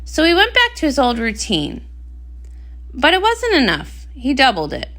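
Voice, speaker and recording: A woman narrating a comic read-aloud in an expressive storytelling style. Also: high voice, normal speed.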